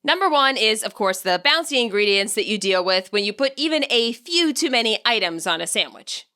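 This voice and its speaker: A woman's voice: on the high side, lively intonation, brisk, pushed and bright, smooth. A woman reading scripted story narration expressively, as the narrator of her own audiobook. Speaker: audiobook narrator and fan fiction author.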